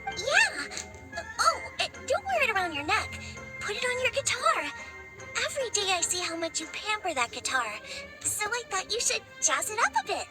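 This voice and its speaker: A woman's voice: very high-pitched, big pitch swings, normal speed, low-quality recording. A woman playing a bubbly, earnest teenage girl in a video game, chatty, perky and friendly. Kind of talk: animated video game voice acting. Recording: loud game music behind the voice. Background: game music.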